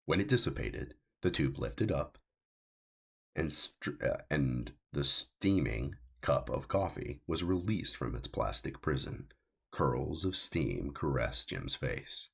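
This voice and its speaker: Very deep, gravelly male storyteller. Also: expressive, slow pace. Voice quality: gravelly, husky. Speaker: author reading his own story. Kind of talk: scripted science fiction audiobook narration.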